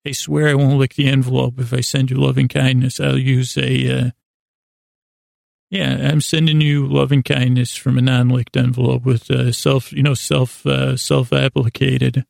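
A man hosting a bedtime podcast in a gravelly, creaky voice, rambling in a halting, meandering way that often circles back on itself. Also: medium pitch, little pitch movement, darkish tone, dry recording, clean studio sound. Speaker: host of a bedtime sleep podcast. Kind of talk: rambling, unscripted bedtime monologue.

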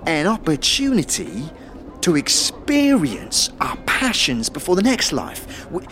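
A man's voice: on the high side, wildly swooping pitch, unhurried, a bit bright, a little hiss or hum, heavily acted. A man playing a shifty, stammering pickpocket con artist who poses as a pious, obsequious monk in a fantasy audio drama. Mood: unctuous, pious.

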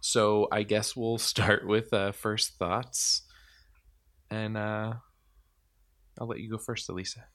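Low-voiced rambling man hosting a podcast. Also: level tone, takes it slowly, pauses often, a bit bright. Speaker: podcast host. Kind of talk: casual chat.

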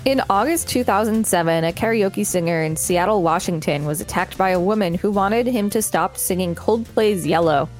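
A woman's voice: mid-range voice, normal speed, few pauses, soft background music. A woman co-hosting a podcast, reading articles aloud with casual remarks. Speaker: podcast co-host.

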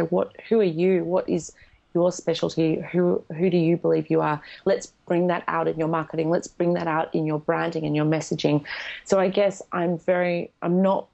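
A woman's voice: lowish voice, creaky, a little mellow, lo-fi sound. A woman, a guest in a podcast interview, speaking frankly and with emphasis. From Australia.

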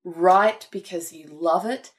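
A woman's voice: fairly low pitch, takes it slowly. A woman author talking thoughtfully and earnestly about her writing in a conversation. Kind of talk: reflective conversation.

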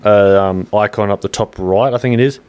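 An Australian man speaks in a lowish voice. He is a podcast co-host, chatting informally in everyday conversational language. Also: fairly quick, a little mellow, smooth. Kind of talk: casual chat. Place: smallish room in a home.

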